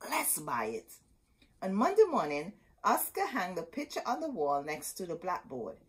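A middle-aged woman reads a history picture book aloud for children. Her voice sits a little low and sounds husky, with very wide swings in intonation. The delivery is dramatic and emphatic, like a storyteller. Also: very animated, normal speed. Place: indoor room with bookshelves.

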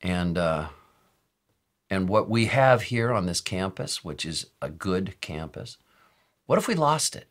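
Low, slightly raspy older American pastor. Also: male voice, big pitch swings, measured pace. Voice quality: a little raspy. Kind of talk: informal sermon teaching. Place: office or study with bookshelves, indoors.

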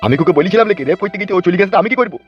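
A voice performer playing a grumpy, foul-mouthed old husband in a cartoon, shouting crude insults at his wife. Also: mid-range voice, lively intonation, very fast, voice pushed down, close to an everyday voice. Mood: cursing, grumbling. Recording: slightly dull lo-fi audio. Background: light background music.

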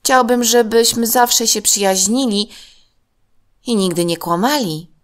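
A woman's voice: on the high side, unhurried, voice pushed up, natural voice. A woman voicing a friendly, wishful young boy in a children's story, speaking earnestly. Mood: hopeful.

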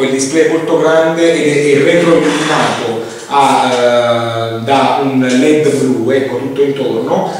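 Male shop demonstrator, unscripted and hesitant, recorded away from the mic in a reverberant room. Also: medium pitch. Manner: hesitant, repetitive explaining with frequent verbal tics. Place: indoor room, demonstrating at a tabletop. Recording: echoey room, distant mic, faint noise.

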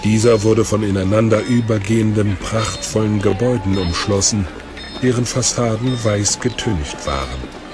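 A man's voice: fairly low pitch, moderate pace. A man reading scripted narration for a fantasy audio story. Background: faint music.

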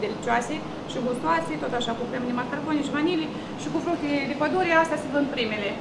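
High-voiced young woman shop assistant. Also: heavy background noise. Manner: hesitant, with fillers, describing the products. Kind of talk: casual product explanation. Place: small delicatessen shop indoors.

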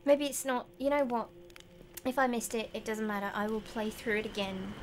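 A woman's voice: fairly high pitch, moderate pace, slight background noise. A middle-aged woman giving casual gameplay commentary, musing aloud to herself. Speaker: game streamer and voice actress. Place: indoor room, seated at a desk. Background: game music.

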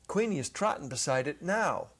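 A man's voice: fairly high pitch, expressive, moderate pace, a bit bright, husky. Middle-aged man reading a story expressively. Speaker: narrator, dramatic reader. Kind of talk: dramatic reading of a literary short story. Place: indoor studio set. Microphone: lapel.

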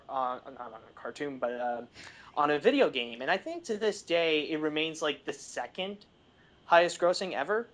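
A man in a casual film discussion, speaking haltingly and searching for his words, often saying "like". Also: fairly high pitch, a bit bright, a little hiss or hum. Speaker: podcast panelist.

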